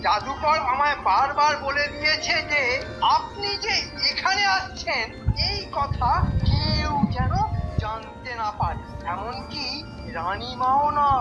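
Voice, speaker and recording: A high-voiced, sly cartoon minister. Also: male voice, lively intonation, takes it slowly, dull audio, exaggerated voice. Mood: sly, conspiratorial. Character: sly, envious male royal minister, scheming flatterer. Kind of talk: cartoon voice acting. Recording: loud music behind the voice.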